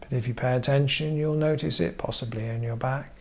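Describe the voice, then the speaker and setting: A man guiding a meditation as a therapist, giving gentle instruction in short, measured phrases with frequent pauses. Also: medium pitch, fairly even intonation. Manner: measured, pausing often between short phrases. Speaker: therapist leading guided meditation.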